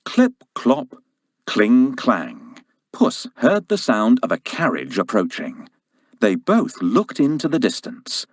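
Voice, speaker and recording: A man narrating a children's story from a script in an expressive, deliberate way. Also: mid-range voice, no echo, studio quality.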